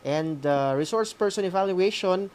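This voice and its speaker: A Filipino co-host of a radio school program giving announcements to students in a halting way, often ending phrases with a questioning "no?". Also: mid-range voice, darkish tone. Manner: halting, often adds "no?" as a tag. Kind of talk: semi-scripted announcements to students on air.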